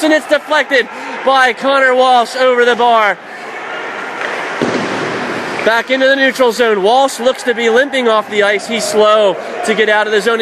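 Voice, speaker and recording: A man doing live radio play-by-play of a hockey game in clipped, excitable calls. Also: very high voice. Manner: excitable on shots, clipped present-tense calls. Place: indoor ice hockey arena. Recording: loud rink noise behind the voice.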